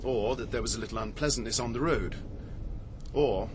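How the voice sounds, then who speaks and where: An actor in a radio drama playing a wry, shaken latecomer with a dry, measured, wordy delivery. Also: male voice, medium pitch, close to an everyday voice. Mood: wry, grim. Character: wry, shaken latecomer, dryly theatrical gentleman. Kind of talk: BBC radio drama dialogue. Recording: noisy radio drama recording.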